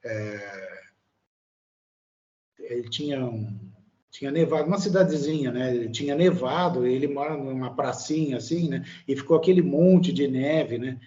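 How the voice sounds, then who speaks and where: Hesitant Brazilian man, mellow and muffled. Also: mid-range voice, normal speed. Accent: Brazilian Portuguese. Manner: hesitant, many fillers and trailing "né?". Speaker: guest, immigrant living in Italy. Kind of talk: casual interview chat.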